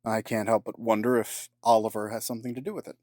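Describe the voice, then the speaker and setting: A man's voice: on the low side, level tone, darkish tone, no room sound, natural voice. Man playing a dry, close-sounding, scheming villain. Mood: suspicious, commanding. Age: middle-aged. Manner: formal, measured, sardonic, superior. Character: cold, scheming, menacing middle-aged businessman villain, grieving father. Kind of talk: audio drama acting.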